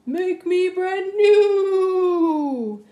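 A woman voicing a fussy, cheerful male snowman in a children's storybook, with exaggerated, drawn-out words. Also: very high voice, dark tone, dull audio, pitched above the performer's own voice, heavily acted. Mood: pleading.

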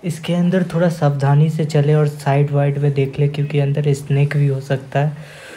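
A man's voice: a little high, noisy background. A man guiding viewers on a walking tour through a cave with a stream, talking to them as he goes. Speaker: travel vlogger. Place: outdoors in a rocky cave gorge with a rushing stream and waterfall. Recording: flat voice under loud rushing water. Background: loud rushing water.

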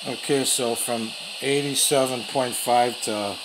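A man calmly talks through a radio demo at a table indoors, thinking aloud as he tunes. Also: mid-range voice, over music, rough recording. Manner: plain, unhurried, thinking aloud. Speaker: radio reviewer. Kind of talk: casual hands-on product demo. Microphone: camera mic close to the radio. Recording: loud static and radio hiss behind.

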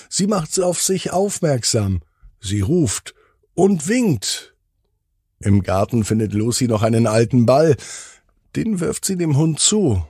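A middle-aged man telling children a bedtime story as a podcast host, with expressive, drawn-out emphasis. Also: mid-range voice, breathy edge, no room sound, studio quality.

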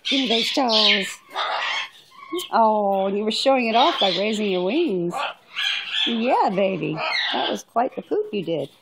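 A woman's voice: medium pitch, animated, a little slow, long pauses. Woman cooing baby talk to a bird. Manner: sing-song cooing, soft and doting. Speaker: pet bird owner. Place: home, with a pet bird. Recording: loud background noise with bird squawks.

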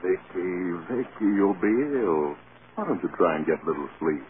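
An actor playing a loyal, protective film studio boss, speaking with earnest concern in 1930s radio style. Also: male voice, deep voice, animated, moderate pace. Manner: solicitous, earnest. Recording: scratchy, tinny 1930s radio broadcast.

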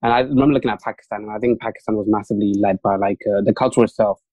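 Fast-talking young man, Urdu native. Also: on the low side, fairly even intonation, warm tone, no echo. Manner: fast, chatty, lots of fillers and restarts. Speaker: interview guest, junior doctor. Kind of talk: casual interview talk.

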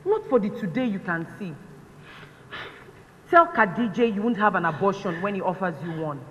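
A woman's voice: mid-range voice, lively intonation, normal speed, a bit bright, a little hiss or hum, close to an everyday voice. A Nigerian woman on stage playing an earnest, insistent, motherly clinic matron. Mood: insistent pleading. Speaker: stage actress. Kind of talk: stage play acting. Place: stage in a large hall. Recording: echoey stage hall, muffled.

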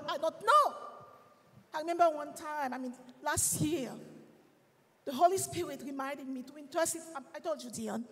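A middle-aged woman with a Haitian accent, earnestly sharing personal reflections as a Bible study panelist. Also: very high-pitched. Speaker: Sabbath school panelist. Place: large church stage, indoors. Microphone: handheld microphone. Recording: reverberant church hall sound.

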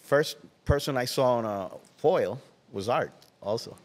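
An older man from Puerto Rico, speaking English with a Puerto Rican Spanish accent, tells stories as an interview guest in a relaxed way, often trailing off into soft asides. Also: mid-range voice, measured pace, big-room sound. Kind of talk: casual interview. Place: large watersports shop, indoors. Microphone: headset.

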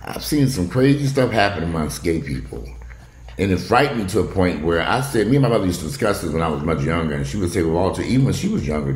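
Deep-voiced animated man talking bluntly. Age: middle-aged. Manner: blunt, swearing, digressive. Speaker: vlogger commenting on news. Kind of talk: casual opinionated monologue. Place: bright sunroom at home, indoors. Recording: roomy, echoey room with faint noise.

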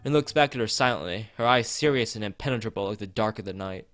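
A man with a fairly low voice reads visual novel text aloud as a streamer. He often trips over a phrase and says it again, and drops in casual asides. Music plays behind him. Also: fast, a bit bright. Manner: stumbles over read lines and repeats phrases. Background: background music.

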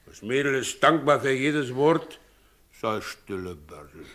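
Ageing husband and carter, good-natured, blunt, homely, bright hard-edged voice, radio drama. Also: male voice, medium pitch, very animated, a little slow. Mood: homely, coaxing. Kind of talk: 1960s German radio drama acting.

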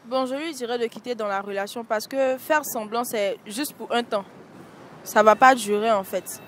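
A woman's voice: fairly high pitch, normal speed, noisy background. Young woman, casual street answer. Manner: casual, repeats points with "en fait". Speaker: street interviewee. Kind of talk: vox pop answer. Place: city street at night beside traffic. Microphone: handheld mic held by interviewer.

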